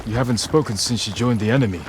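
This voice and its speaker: An actor playing an earnest, resolute younger samurai, measured, serious and direct, in a dramatic video game scene. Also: male voice, lowish voice, lightly acted. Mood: wary, serious. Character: earnest, resolute younger samurai warrior, wary and level-headed. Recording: clear noise of outdoor game ambience.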